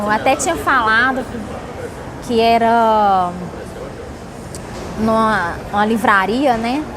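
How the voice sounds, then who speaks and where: A woman from Salvador in Brazil answering interview questions with casual personal storytelling. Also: fairly high pitch. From Salvador, Bahia, Brazil. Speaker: interviewee, company employee. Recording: noisy background with vehicle sounds.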